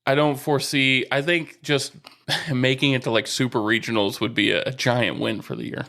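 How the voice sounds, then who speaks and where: A man co-hosting a podcast, calmly explaining sports news and rules. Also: mid-range voice, moderate pace, gravelly. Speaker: podcast co-host. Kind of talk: casual sports chat.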